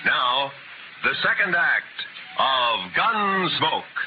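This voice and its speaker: A man's voice: mid-range voice, sing-song, very slow, over loud music. An American man reading scripted network announcements in a 1950s radio style. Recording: muffled, hissy old radio broadcast.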